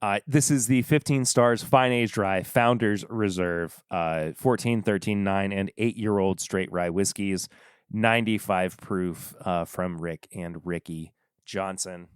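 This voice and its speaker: A slow, easygoing man with a fairly low voice talks through his picks on his own podcast, often stopping to restart his thoughts. His voice sounds smooth and clear, and the recording is dry and close, with no room sound. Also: dry recording. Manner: rambling, halting, self-interrupting. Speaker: podcast host reviewing whiskey. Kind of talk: casual solo podcast talk. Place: home desk.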